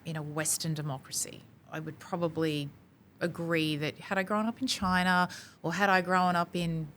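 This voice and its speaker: An Australian woman in her forties talking in a casual podcast conversation, animated and acting out her own quotes. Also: lowish voice, slight background noise. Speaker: podcast guest.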